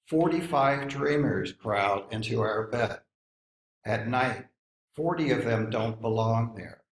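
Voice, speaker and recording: A man's voice: mid-range voice, gravelly. A man taking part in a service as a poetry reader, speaking to those gathered in an informal, literary way. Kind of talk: informal introduction and poem reading. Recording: echoey room.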